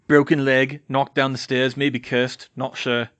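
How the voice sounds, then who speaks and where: A man's voice: medium pitch, level tone, measured pace, fairly bright tone, clear, resonant tone, no echo, lo-fi sound, natural voice. A man voicing a plucky, guilt-ridden teenage wizard, blunt, muttering and morose, in a story reading. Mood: terse.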